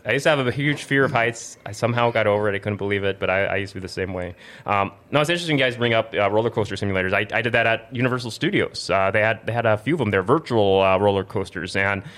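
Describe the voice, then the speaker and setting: A man's voice: fairly low pitch, fast talker, a bit bright. Casual man hosting a chat show. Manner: informal, slangy, encouraging. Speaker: host.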